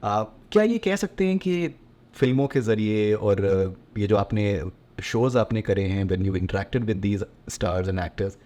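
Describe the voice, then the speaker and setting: Fast-talking low-voiced male interviewer. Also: darkish tone. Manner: long probing questions, code-switching between English and Hindi. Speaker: interviewer, host. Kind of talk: interview questions.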